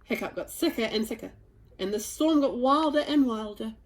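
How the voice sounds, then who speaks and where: A woman reading a children's storybook aloud with expressive, dramatic emphasis. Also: a little high, moderate pace. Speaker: storybook reader.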